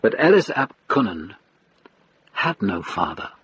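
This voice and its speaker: A man narrating a radio drama in a deliberate, literary storytelling style. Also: fairly low pitch, sing-song, fairly bright tone, a little hiss or hum.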